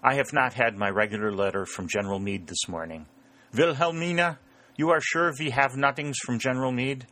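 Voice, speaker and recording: A man's voice: medium pitch, brisk, bright, forceful tone, voice pushed up, lightly acted. Man doing a middle-aged farmer, broken English, sly and stubborn, mock-mournful, storybook character. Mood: wily, dry. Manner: broken English with foreign phrasing. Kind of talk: audiobook character voice.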